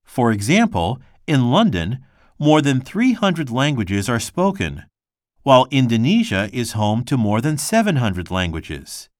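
A man reading a textbook passage aloud in a measured, scripted way. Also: mid-range voice, big pitch swings, dry recording, studio quality. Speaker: textbook reader.

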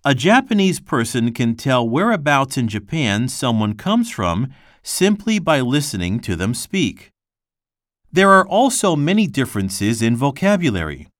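A man's voice: medium pitch, big pitch swings, no echo, studio quality. Man reading a textbook passage aloud. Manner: slow, careful reading with clear pauses. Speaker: textbook reader.

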